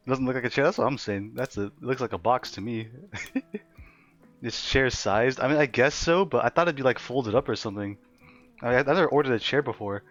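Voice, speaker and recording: A young man chats loosely with his stream, laughing often and trailing through fillers as he reads messages aloud. His voice sits at an ordinary middle pitch. The audio is a little dull and lo-fi, with faint music underneath. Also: a bit bright. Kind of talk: casual livestream chat reading chat aloud. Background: faint background music.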